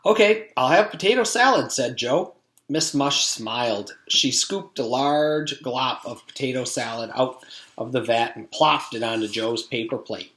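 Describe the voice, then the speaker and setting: A man's voice: medium pitch, fairly even intonation, unhurried, a bit bright. A man reading a children's storybook aloud in the manner of a teacher with a class. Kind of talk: storybook read-aloud narration. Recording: echoey room.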